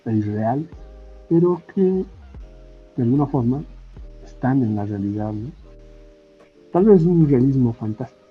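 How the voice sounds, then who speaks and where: Unhurried Bolivian man in muffled audio. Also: medium pitch, expressive, slightly rough. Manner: halting, ends phrases with tag questions. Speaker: podcast guest, comic artist and illustrator. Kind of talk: casual podcast interview. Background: background music.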